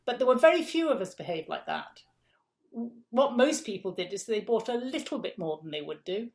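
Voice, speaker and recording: A woman's voice: a little high, big pitch swings, a little fast, a little mellow. A middle-aged woman from England, a guest in an interview, explaining food systems step by step with emphatic repeats.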